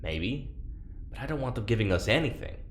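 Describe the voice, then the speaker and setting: An actor playing a wary, sardonic prisoner and test subject in an audio drama, blunt and sarcastic. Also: male voice, mid-range voice, expressive, a little fast, close to an everyday voice. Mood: exasperated. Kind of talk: naturalistic audio drama acting. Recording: roomy, echoing, faint background noise.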